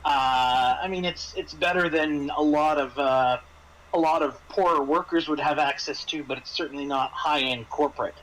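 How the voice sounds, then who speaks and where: A man narrating an improvised tabletop game as game master, often halting and repeating words as he searches for phrasing. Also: on the high side, fairly bright tone, rough recording.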